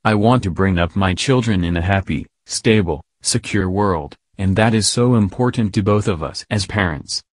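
Male voice-over, lowish pitch, flat scripted news read, dry booth sound. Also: normal speed, few pauses, no echo. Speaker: narrator.

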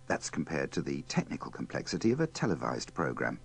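A man's voice: extremely low pitch, wildly swooping pitch, brisk, vocal fry, breathy edge. A man presenting in scripted, wry narration with a dry, tongue-in-cheek manner.

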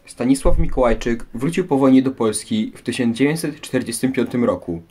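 Male history programme host, medium pitch. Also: fairly quick, warm tone. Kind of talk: scripted presenting with questions to guests.

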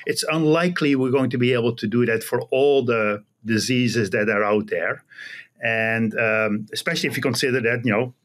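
A middle-aged man with a Dutch accent talks as an expert guest in an interview. His pitch is medium, and he explains things haltingly, doubling back on words as he goes. It sounds like a video call from a small office. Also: a bit bright. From Netherlands. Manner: hesitant, halting, often repeating words. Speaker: guest, animal genetics researcher. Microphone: computer video call.

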